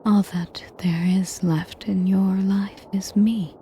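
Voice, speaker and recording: A woman playing a sadistic, possessive female dragon in an intimate audio roleplay, speaking in a measured, formal, breathy and commanding way. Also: mid-range voice, steady tone, warm tone, a little hiss or hum. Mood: commanding, menacing. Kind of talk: slow, intimate audio roleplay addressed to the listener.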